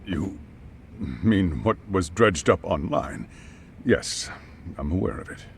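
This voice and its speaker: A man's voice: low-pitched, expressive, slow pace, creaky, a little hiss or hum, heavily acted. An actor playing a formal, guarded school chairman in a video game dub, hesitant and evasive. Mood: defensive. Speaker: head of a school.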